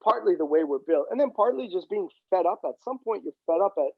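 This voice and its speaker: Higher-voiced wry male podcast guest. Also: lively intonation, fairly quick, slightly rough, no room sound, phone-quality sound. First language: Punjabi. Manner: wry and jokey, swears casually. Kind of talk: casual chat.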